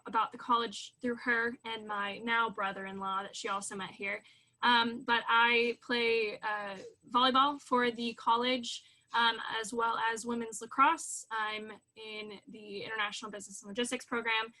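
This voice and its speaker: A woman's voice: on the high side, pushed and bright. A young woman from California, a college student, chatting casually with many fillers. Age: young adult. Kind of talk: casual chat.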